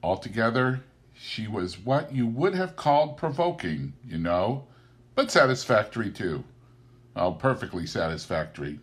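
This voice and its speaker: A man reading a classic story aloud as an audiobook narrator, in an expressive, theatrical storytelling manner. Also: fairly low pitch, moderate pace. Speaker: audiobook reader.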